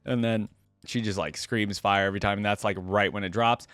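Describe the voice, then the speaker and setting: A man with a fairly low voice gives casual reaction commentary as the host of a video. He talks in a slangy way, thinking aloud as he goes, and the sound is dry with little room in it. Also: steady tone, a little fast, fairly bright tone, smooth, dry recording.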